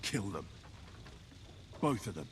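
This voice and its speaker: A man's voice: lowish voice, sing-song, slow, background noise. An actor playing an earnest, hot-headed young man in a medieval video game drama, speaking with impassioned conviction. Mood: angry, defiant.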